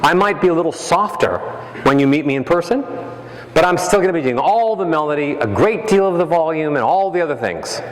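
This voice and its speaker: A man giving a motivational keynote as a voice coach, animated and playful, acting out examples with his voice. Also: fairly high pitch, a little fast. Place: large hall. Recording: echoey hall, faint ambience.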